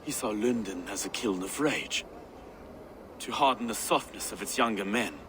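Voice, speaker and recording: An actor playing a solemn, reflective nobleman who speaks gravely about power and betrayal. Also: fairly low pitch, normal speed, a bit bright, a little hiss or hum, close to an everyday voice.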